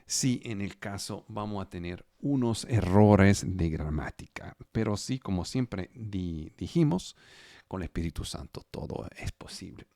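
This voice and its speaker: A non-native Spanish-speaking man delivers a sermon at an unhurried pace, his voice swinging through a wide, animated range of pitch. He often stumbles and repeats words mid-phrase. The sound is dry and close, with no room echo. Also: creaky. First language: not Spanish. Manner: slow, halting, repeats words while searching for phrasing. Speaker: pastor. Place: home studio for a live online service.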